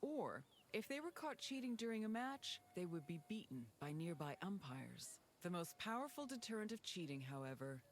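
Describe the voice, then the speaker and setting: A woman reading scripted documentary narration for a history game tour, in a measured, informative way. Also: on the low side, big pitch swings, a little hiss or hum.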